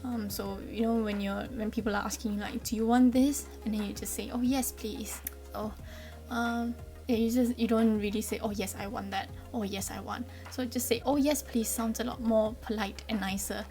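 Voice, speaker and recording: A woman's voice: a little high, fairly even intonation, moderate pace, mellow, soft background music. A woman in her twenties from Malaysia with a Malaysian accent, explaining things in a chatty, friendly way with frequent ums. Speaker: vlogger. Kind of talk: casual explainer vlog.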